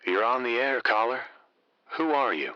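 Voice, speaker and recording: An actor playing a suave, wry late-night radio host in a comedy audio drama, teasing callers with mock solemnity. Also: male voice, mid-range voice, lively intonation, normal speed, no room sound, muffled recording, natural voice. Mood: smooth, amused.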